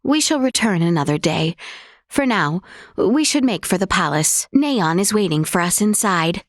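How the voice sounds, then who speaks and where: A woman's voice: medium pitch, animated, normal speed, no echo, clean studio sound, close to an everyday voice. A woman voicing a young, earnest royal princess in a video game, speaking in formal, polite, old-fashioned courtly phrases. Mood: composed, courteous. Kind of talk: scripted video game voice acting.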